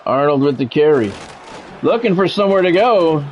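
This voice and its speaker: A man's voice: on the high side, lively intonation, moderate pace, slight background noise. A man doing sports play-by-play for a video game stream, phrasing it like a radio announcer with casual asides. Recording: dull, lo-fi audio with music behind.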